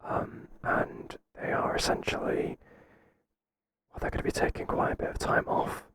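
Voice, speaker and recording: Whispering, hesitant male boss, acted by a man. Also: lightly acted. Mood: hesitant, confiding. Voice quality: soft, breathy, mostly whispered. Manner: halting, tentative, confiding. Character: hesitant, flattering male boss confiding in an employee. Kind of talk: whispered ASMR roleplay.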